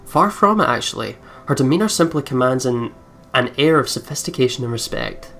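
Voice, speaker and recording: A woman's voice: rumbling low, expressive, a little fast, a bit bright, a little hiss or hum. A woman hosting a let's play, casually commenting and reading the game text aloud in a chatty way.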